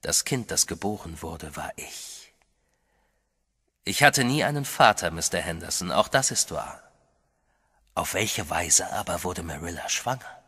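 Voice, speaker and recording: A vampire master who commands an army of the undead. The voice is low and husky yet bright, measured and cold, dropping to a whisper for threats. Soft music plays beneath. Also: male voice, animated, exaggerated voice. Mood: cryptic. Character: sinister male vampire master, calm and menacing. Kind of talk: German radio horror drama.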